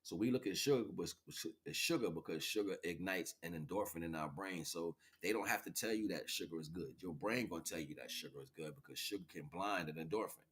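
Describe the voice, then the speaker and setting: A man in his forties with a fairly low voice hosts an informal livestream. He rambles in slangy speech, circling back over his phrases and often checking that the listener follows. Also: fast, few pauses, fairly bright tone, husky. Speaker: livestream host.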